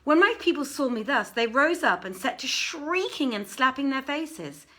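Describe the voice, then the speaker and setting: A woman reading an old literary tale aloud as a storyteller, with expressive, measured phrasing. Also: high voice. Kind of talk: dramatic live literary reading.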